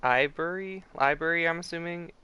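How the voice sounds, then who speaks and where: A man's voice: a little high, normal speed, heavy background noise. A man giving casual, informal livestream commentary on a game, musing aloud as he plays. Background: game music.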